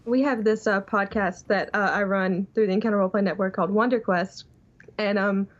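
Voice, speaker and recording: A woman's voice: medium pitch, steady tone, fairly quick. Young woman on a muffled remote call. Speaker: podcast guest. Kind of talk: casual chat.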